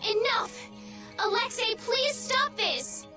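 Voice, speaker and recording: An actress playing a gentle young princess who pleads in distress in a game cutscene. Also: female voice, extremely high pitch, slow, faint music behind, full character voice. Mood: pleading. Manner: pleading, anguished.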